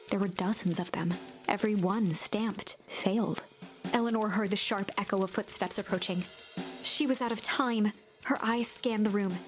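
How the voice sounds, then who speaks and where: A woman reading dramatic storybook narration for a game. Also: medium pitch. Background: music behind the voice.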